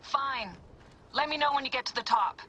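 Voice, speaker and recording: A woman's voice: sits high, moderate pace, sharp tone, a little hiss or hum. A woman acting as a worried, cautious colleague in a video game cutscene, anxious and chiding in manner. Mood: exasperated. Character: worried, cautious female colleague monitoring a risky experiment.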